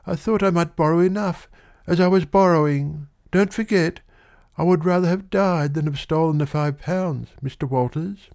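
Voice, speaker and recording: A man's voice: on the high side, moderate pace, gravelly, darkish tone, voice pushed up. A man reading aloud, voicing a frightened, tearful young shop girl who pleads in a breathless rush. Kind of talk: audiobook reading.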